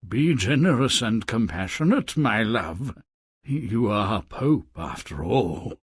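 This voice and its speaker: A man's voice: fairly low pitch, sing-song, takes it slowly, slightly rough, no room sound, studio quality, full character voice. A man playing a meek, doting fisherman husband, hesitant and apologetic, in a fairy-tale game. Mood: meek, placating.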